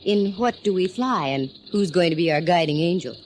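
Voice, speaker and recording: A woman's voice: on the low side, lively intonation, slight background noise, natural voice. A woman playing a sassy young private eye. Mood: wry. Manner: quick wisecracks, teasing and self-assured. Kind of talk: 1940s radio acting.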